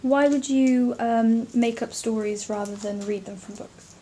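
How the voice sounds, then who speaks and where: A woman's voice: a little high, fairly even intonation, measured pace, warm tone, slight background noise. A young woman interviewing a relative about bedtime stories, encouraging and laughing often. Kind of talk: casual family interview.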